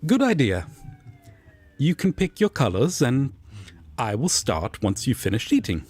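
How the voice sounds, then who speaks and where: A man playing an earnest, sentimental angel roommate in an intimate audio roleplay, speaking gently, formally and a little hesitantly. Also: medium pitch, huge pitch swings, unhurried, slightly rough, full character voice. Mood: agreeable.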